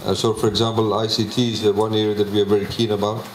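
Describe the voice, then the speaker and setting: A man, presenting a budget to a public meeting in a measured way, with many pauses and fillers. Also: fairly low pitch, level tone, slight background noise. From Fiji. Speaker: government minister presenting a budget. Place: public consultation meeting hall. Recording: echoey hall, faint ambience.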